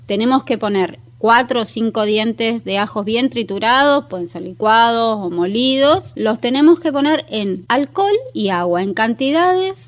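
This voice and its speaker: Higher-voiced Argentine woman explaining home gardening. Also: expressive, moderate pace, few pauses. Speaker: guest expert on aromatic plants and home gardening. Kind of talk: conversational explanation in a radio interview.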